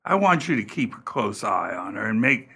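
A man as a stammering, gravelly, anxious caretaker. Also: on the low side, big pitch swings, pushed and bright, heavily acted. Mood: nervous, fussy. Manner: halting, stammering, repeats words. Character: fussy, anxious, hesitant residential caretaker. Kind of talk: radio play acting.

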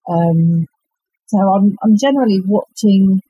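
A woman, an author chatting in an interview in a halting, self-effacing way. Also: mid-range voice, dark tone, husky, no room sound, rough recording. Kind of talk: casual interview chat.

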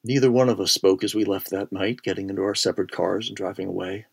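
Low-voiced man telling a ghost story. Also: steady tone, creaky, slightly rough. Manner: measured, suspenseful, with deliberate pauses and hushed phrases. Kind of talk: dramatic first-person ghost story narration.

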